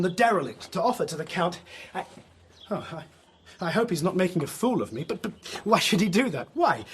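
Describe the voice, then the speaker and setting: A performer playing an eager, flustered young man who stammers and rushes. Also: medium pitch, a little hiss or hum, natural voice.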